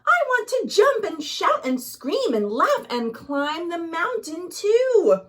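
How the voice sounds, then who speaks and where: A woman's voice: extremely high pitch, big pitch swings, slow pace, voice pushed up, exaggerated voice. A woman voicing a brave, curious and restless young village boy in a storybook, earnest and emphatic. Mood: determined.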